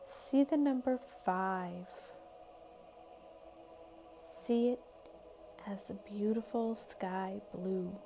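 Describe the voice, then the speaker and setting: A woman guides a meditation at an extremely unhurried pace, with music playing behind her. Her voice is soft-toned and mellow. She speaks calmly and soothingly in short phrases, leaving long pauses between them. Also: mid-range voice. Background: background music.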